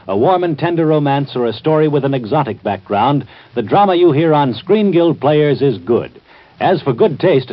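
A dull vintage radio recording of an American man announcing in formal 1940s promotional style. His voice is husky and mid-pitched, with polished, measured phrasing.

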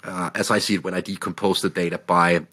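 A man with a Danish accent co-hosting a podcast, speaking in a measured way with frequent pauses. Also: low voice, level tone, sharp tone, breathy edge. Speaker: podcast co-host, analyst. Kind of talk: casual market discussion.

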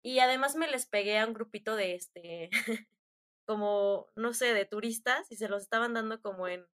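Young woman, Mexican accent, slightly high pitch, casual storytelling, informal slang, chatty. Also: moderate pace. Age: young adult. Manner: chatty, informal, slangy, animated retelling with quoted dialogue.

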